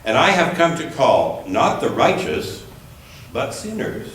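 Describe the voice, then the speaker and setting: Echoey distant recording, man, church scripture reader, slow deliberate phrasing, expressive rise and fall. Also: medium pitch. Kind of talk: scripture reading aloud. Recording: echoey room, distant mic, faint noise.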